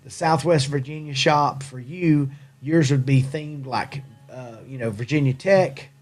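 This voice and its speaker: A man's voice: mid-range voice, unhurried, darkish tone, soft background music. A man from Tennessee with a Southern American accent, chatting casually as a livestream host in a rambling, jokey, self-deprecating way. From Tennessee, USA. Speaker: livestream host and hat company owner. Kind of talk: casual live chat with viewers.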